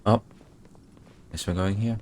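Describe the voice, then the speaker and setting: A man's voice: on the low side, fairly even intonation, a little slow, darkish tone, slight background noise. A man streaming a video game, giving casual, reactive commentary as he mutters to himself and swears now and then.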